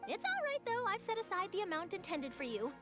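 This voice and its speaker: Very high, perky young reporter. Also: female voice, sing-song, fast talker. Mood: reassuring. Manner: exclamatory, chatty, teasing. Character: perky, eager young female newspaper reporter. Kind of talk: anime-style video game voice acting. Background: background music.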